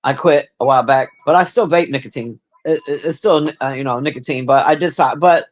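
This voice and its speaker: A middle-aged woman with a deep, husky smoker's voice, chatting profanely and bluntly with her livestream viewers. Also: brisk. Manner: profane, blunt, animated banter. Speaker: livestream host reading chat. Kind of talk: casual livestream chat.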